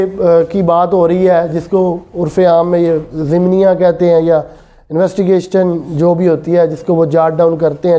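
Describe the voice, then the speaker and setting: A man's voice: high voice, dark tone. A man with a Pakistani accent giving an explanatory classroom law lecture, switching between languages mid-sentence. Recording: dull lo-fi audio.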